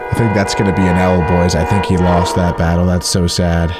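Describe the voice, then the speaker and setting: A man's voice: deep voice, level tone, brisk. A man giving casual, slangy reaction commentary as a video host. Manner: slangy, addresses viewers as bro and boys. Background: music.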